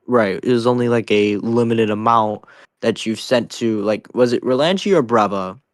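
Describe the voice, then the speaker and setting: A young man streaming and chatting casually, rambling, with his pitch jumping up when he stresses a point. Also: normal speed, no echo, studio quality. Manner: rambling, swings up in pitch on emphatic lines. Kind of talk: casual chat.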